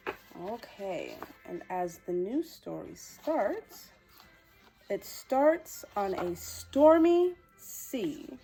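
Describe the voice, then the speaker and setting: A woman hosting a children's storytime, reading and talking to young viewers in an expressive, sweet manner. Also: mid-range voice, drawn-out words, soft background music, low-quality recording. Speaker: children's storytime host dressed as a princess character. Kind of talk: animated children's picture-book reading and direct address to young viewers.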